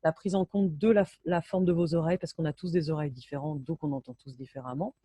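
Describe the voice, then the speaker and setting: A woman's voice: sits low, fairly even intonation, fast, warm tone, husky, dry recording. A woman sound engineer giving an enthusiastic, casual technical talk over a video call, often hesitating and restarting her sentences. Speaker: binaural sound engineer, guest speaker. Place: home, in Brittany.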